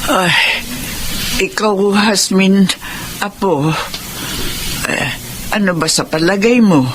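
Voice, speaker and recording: An actress playing a plain-spoken, caring elderly grandmother in a radio drama, halting and full of little exclamations. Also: mid-range voice, slight background noise, natural voice. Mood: hesitant, yielding.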